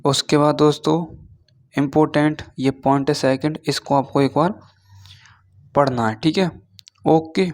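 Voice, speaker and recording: A man giving an informal explainer lesson as an online teacher, reading through points haltingly and often checking in with short tag words. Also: a little high, a little mellow. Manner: switches between Hindi and halting read-out English.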